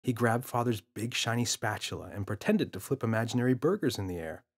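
A man's voice: medium pitch, animated, fairly quick, slightly rough. Man narrating a children's audiobook. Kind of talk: scripted children's story narration.

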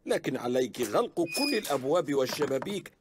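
A performer voicing a gruff but kindly elderly grandfather pig in a preschool cartoon, with a husky voice. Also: medium pitch, sing-song, quick pace, noisy background, natural voice. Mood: kindly, advising. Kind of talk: Arabic-dubbed preschool cartoon.

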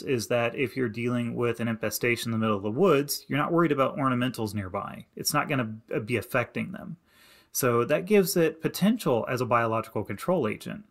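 A man narrating a slideshow lesson as an extension educator, giving plain, practical advice in a steady instructive way. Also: medium pitch. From Indiana. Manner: plain, practical and advising, with many "you need to" instructions. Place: voice-over to slides.

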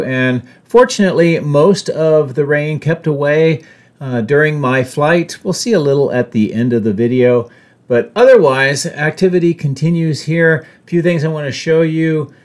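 A man in his fifties giving a measured, matter-of-fact explanatory narration as a drone vlogger. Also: on the high side, a little mellow. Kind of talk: explanatory site-update narration.

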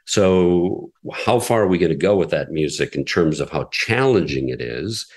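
A man in an interview, a radio executive explaining his station's approach in a measured way with frequent fillers. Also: sits low, expressive. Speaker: classical radio station president. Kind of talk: conversational interview answers.

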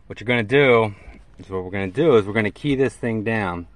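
A middle-aged man giving a casual, hands-on how-to in a halting way, recorded on a handheld camera outdoors by a vehicle. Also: on the low side, no room sound. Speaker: CB radio hobbyist presenter. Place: outdoors in and beside a parked vehicle in an open field. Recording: clear background noise, outdoor ambience.

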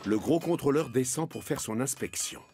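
A man's voice: mid-range voice, animated, normal speed, noisy background. A man narrating a scripted children's story. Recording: music behind the narration.

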